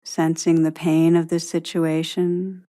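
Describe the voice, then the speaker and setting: A woman narrates a guided meditation in a somewhat low voice. She speaks very slowly and deliberately, with a gentle delivery and long, open pauses between her phrases. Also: level tone.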